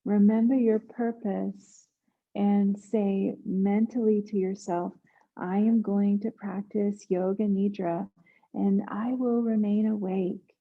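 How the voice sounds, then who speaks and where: A woman guiding a yoga nidra meditation in a hushed, soothing manner, leaving long pauses between instructions. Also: mid-range voice, steady tone, phone-quality sound. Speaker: meditation guide.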